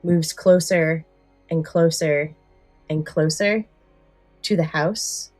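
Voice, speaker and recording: A woman's voice: low-pitched, steady tone, takes it slowly, darkish tone, no echo, faint music behind. A woman co-hosting a podcast, talking in a casual, explanatory way. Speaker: podcast co-host. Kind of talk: casual podcast chat with some read-out story summaries.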